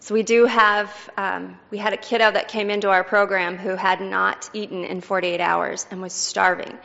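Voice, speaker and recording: Woman guest speaker, squeaky gravelly voice, grateful casual talk, church reverb. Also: flat delivery, normal speed. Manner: grateful, warm-hearted, casual with fillers. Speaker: guest speaker from a charity program. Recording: dull sound with long church reverb.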